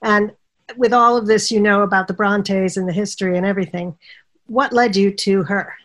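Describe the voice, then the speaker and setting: A woman hosts a podcast and interviews a guest author. She speaks with enthusiasm, welcoming her guest and leading the talk. Her voice sits at a middle pitch. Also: fairly even intonation, normal speed. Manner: enthusiastic, warm welcoming host. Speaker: podcast host.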